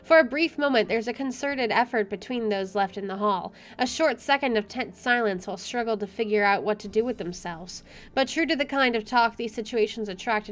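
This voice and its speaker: A woman game streamer reading visual novel narration aloud and adding casual commentary. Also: a little high. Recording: game music behind.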